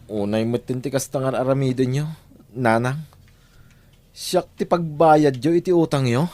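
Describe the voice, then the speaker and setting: Man acting a slow, hesitant young son. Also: mid-range voice, a little mellow, close to an everyday voice. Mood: indignant. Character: shy, reluctant, burdened young son. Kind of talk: Ilocano audio drama acting.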